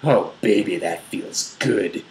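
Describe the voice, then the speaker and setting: A man voicing a brooding, commanding businessman lover from a romance novel in a slow, breathy murmur. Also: fairly low pitch, lively intonation, a little mellow. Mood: seductive, commanding. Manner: slow, staccato, growling. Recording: roomy echo, faint noise.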